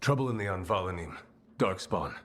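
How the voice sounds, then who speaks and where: An actor playing a sober, alert resistance fighter in a fantasy game. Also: male voice, sits low, lively intonation, fairly quick, a little hiss or hum. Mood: urgent.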